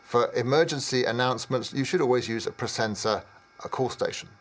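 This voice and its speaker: A man in his fifties presenting product training in a measured, explanatory way with some hesitation. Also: medium pitch. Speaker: technical trainer.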